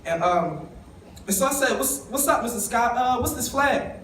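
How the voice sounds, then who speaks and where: A young man speaks in a high voice, telling his own story in an emotional, conversational way and talking straight to the crowd. He stands away from the mic in a roomy, reverberant hall. A faint hum of the room sits behind him. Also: expressive, moderate pace, fairly bright tone. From East Baltimore. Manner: emotional, conversational, addresses the audience directly. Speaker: summit speaker. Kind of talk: personal storytelling speech. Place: conference hall. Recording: echoey room, voice from a distance.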